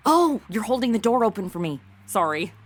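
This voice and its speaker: A woman's voice: medium pitch, big pitch swings, fairly quick. An actress playing a brash, self-absorbed, ditzy young modern woman with sarcastic, slangy delivery in an audio comedy. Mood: sarcastic, breezy. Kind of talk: comedic audio drama acting.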